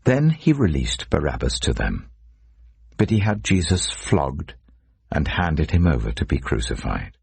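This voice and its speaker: Man, dramatic scripture narration, very deep, gravelly, lively intonation, dry sound. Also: a little slow, no room sound. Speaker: audiobook narrator.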